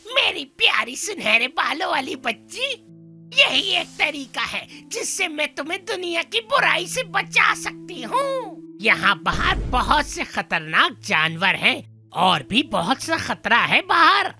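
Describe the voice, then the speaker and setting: A woman voicing a scheming, possessive, falsely sweet witch in a children's fairy tale, shrill and theatrical. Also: sing-song, pushed and bright, soft background music, full character voice. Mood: cloying, warning. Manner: theatrical, drawn-out calls.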